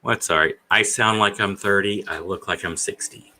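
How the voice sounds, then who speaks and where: A man's voice: lowish voice, moderate pace, bright, forceful tone. A man in his forties hosting a casual live stream, rambling and hesitant, with many fillers. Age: 40s. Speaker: stream host.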